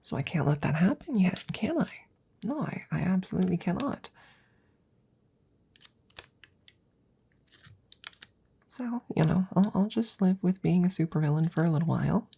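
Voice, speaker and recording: A woman playing an anxious, devoted scientist in an intimate ASMR roleplay, rambling haltingly in a soft, breathy voice that often slips into whispers, close to the microphone. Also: fairly low pitch, lively intonation, slight background noise. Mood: worried, resolute.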